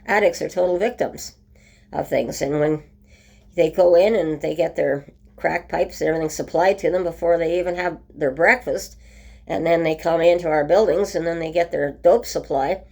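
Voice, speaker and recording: A woman talking casually in a home video update, her voice rough from a cold, full of ums and restarts. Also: deep voice, moderate pace, darkish tone. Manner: chatty, many ums and restarts. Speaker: vlogger. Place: at home by a window.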